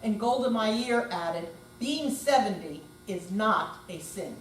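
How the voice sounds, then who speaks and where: A woman's voice: medium pitch, lively intonation, takes it slowly. Older woman reading on stage, echoey and distant. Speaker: amateur readers theater performer. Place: senior center hall, indoor live performance. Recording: distant, echoey room with faint noise.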